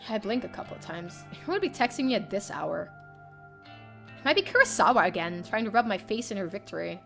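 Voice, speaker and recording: A young woman streamer reading a visual novel aloud in the first person, expressive and theatrical. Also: mid-range voice, brisk, gravelly. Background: game music.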